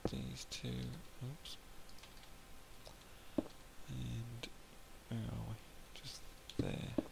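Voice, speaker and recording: A man with a hoarse throat, quietly muttering casual gameplay commentary to himself as he builds. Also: medium pitch, little pitch movement, drawn-out words. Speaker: gameplay video host. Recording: home mic with loud background noise. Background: cows mooing in the game.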